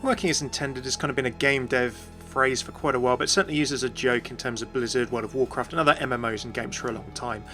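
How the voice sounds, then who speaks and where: A man's voice: medium pitch, steady tone, quick pace, hardly any pauses, a bit bright. Explanatory man presenting over faint music. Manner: explanatory, addresses viewers directly. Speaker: video presenter and game commentator. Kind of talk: scripted fan commentary.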